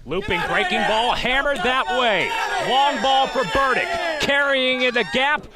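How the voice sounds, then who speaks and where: A man calling baseball play-by-play as live radio commentary from an outdoor ballpark, rising excitedly on big plays. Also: very high-pitched, a little slow, very noisy.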